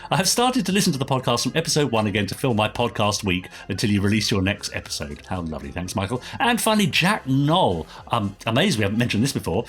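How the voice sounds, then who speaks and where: A man's voice: lowish voice, big pitch swings, quick pace, hardly any pauses, a bit bright. A British man co-hosting a podcast, reading out listener messages in a chatty, enthusiastic way. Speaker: podcast co-host.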